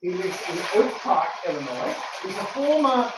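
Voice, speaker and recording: A man's voice: sits high, big pitch swings, normal speed. A man talking informally to an audience, with emphatic, theatrical phrasing. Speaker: radio theatre co-founder and scriptwriter. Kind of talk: informal live talk to an audience. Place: public library room. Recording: roomy, distant mic with some noise.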